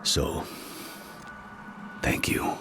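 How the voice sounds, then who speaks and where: An actor playing a weary, grieving spirit-healer in a video game, gravelly and halting, with a solemn manner. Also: male voice, noisy background. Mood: weary, resolute. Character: grieving, weary male spirit-healer, guilt-ridden but resolute. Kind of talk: dramatic video game acting. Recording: music behind the voice.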